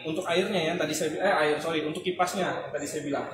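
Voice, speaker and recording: A young man giving a casual, animated product demo in a small kitchen showroom, filmed on a phone camera a few steps away. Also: a little high, racing, noisy background. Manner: chatty, animated, gestures while explaining. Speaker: product reviewer. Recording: echoey room with loud music.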